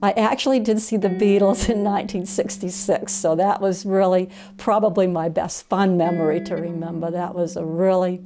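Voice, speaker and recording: A woman's voice: lowish voice, steady tone, normal speed, hardly any pauses, warm tone. A woman, sharing personal memories in an interview. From Maine, USA. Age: 70s. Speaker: grandparent being interviewed. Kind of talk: personal reminiscence. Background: acoustic guitar music.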